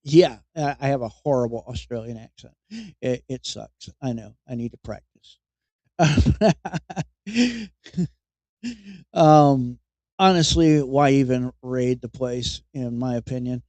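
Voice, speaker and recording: Male podcast host, slow and wry, mellow tone, dry close mic. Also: medium pitch, no room sound. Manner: wry and mocking, chuckles at his own jokes; halting, repeats words as he goes. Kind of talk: comedic news commentary.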